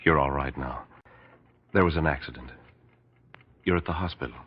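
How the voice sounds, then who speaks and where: Tender radio-drama sweetheart: extremely low, gentle, reassuring, on a muffled old recording. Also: male voice, moderate pace, a little hiss or hum. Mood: soothing. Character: soothing, tender husband or sweetheart at a bedside.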